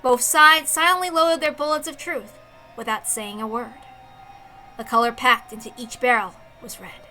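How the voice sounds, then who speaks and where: A woman's voice: a little high, moderate pace, sharp tone, poor audio. A woman narrating a visual novel as a dramatic story reading. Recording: music behind the voice. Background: background music.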